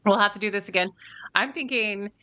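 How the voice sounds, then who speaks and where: A woman's voice: mid-range voice, fairly quick, sharp tone. A woman hosting a casual interview podcast, upbeat and giggly, encouraging her guests. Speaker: podcast host.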